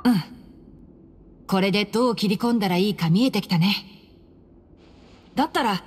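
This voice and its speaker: A woman voicing a calm, clever young male scholar in an anime game, composed and articulate as he explains things. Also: mid-range voice, normal speed, husky, long reverb tail, natural voice. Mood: thoughtful, assured.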